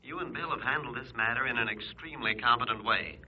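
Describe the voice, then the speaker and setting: An actor playing a courteous, self-assured state governor, formal and measured, in an old-time radio drama. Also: male voice, medium pitch, lightly acted. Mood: cordial, official. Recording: muffled old radio recording.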